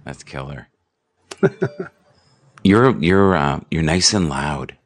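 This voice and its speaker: A man's voice: a bass voice, very animated, extremely slow. A man hosting a casual live stream chat, joking around and acting out quotes in put-on voices. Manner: jokey, acts out quotes in silly voices.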